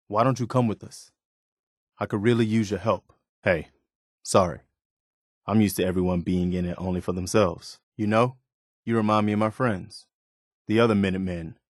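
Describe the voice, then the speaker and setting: A male actor plays a weary, idealistic leader of a wasteland militia in a video game. His voice sits a little low and he speaks with measured, earnest sincerity. The recording is very dry, with no room around the words. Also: dry recording, lightly acted. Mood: apologetic, gentle. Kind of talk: video game character dialogue.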